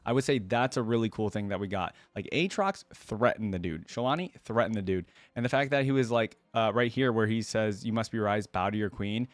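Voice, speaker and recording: A man's voice: lowish voice, fairly quick, few pauses, dry recording. A man giving casual, chatty, slangy reaction commentary as a video host.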